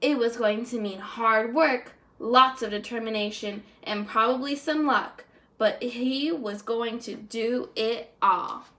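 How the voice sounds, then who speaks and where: A woman's voice: on the high side, slow pace, fairly bright tone. A young woman reading a storybook aloud to first graders as a teacher, expressively and with the odd stumble over words. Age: young adult. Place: indoors, small room at home.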